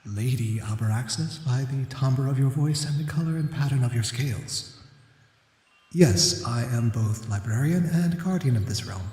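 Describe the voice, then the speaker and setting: A man's voice: medium pitch, animated, moderate pace, dark tone, close to an everyday voice. A man voicing a courteous, scholarly winged serpent who guards a library, speaking formally and musingly in an ASMR roleplay. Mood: courtly. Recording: echoey hall reverb, faint background noise.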